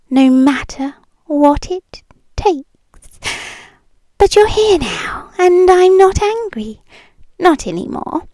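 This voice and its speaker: A British woman playing a possessive, wealthy, menacingly sweet yandere in an intimate ASMR roleplay, drifting between soft deliberate speech and breathy whispers. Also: high voice, no echo, full character voice. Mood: quietly menacing. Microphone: close microphone, dry.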